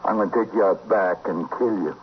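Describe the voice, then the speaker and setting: A menacing American outlaw played by a male actor in a vintage Western radio play. His voice is low, his rustic talk cold and taunting. The recording is dull, with a faint hiss. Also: brisk, close to an everyday voice. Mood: cold, matter-of-fact. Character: menacing, vengeful male killer in an old West town. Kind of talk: 1950s Western radio acting. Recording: muffled, hissy 1950s radio recording.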